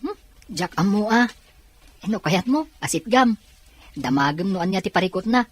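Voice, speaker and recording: A woman's voice: medium pitch, animated, normal speed, slightly rough, no room sound. A woman playing a considerate young relative in a dramatized audio family story. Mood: thoughtful.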